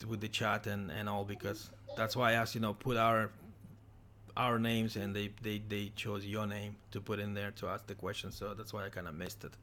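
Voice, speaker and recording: A man from Ukraine hosting a casual livestream interview, talking haltingly with many restarts over a computer microphone. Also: fairly low pitch, flat delivery, pushed and bright. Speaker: livestream host.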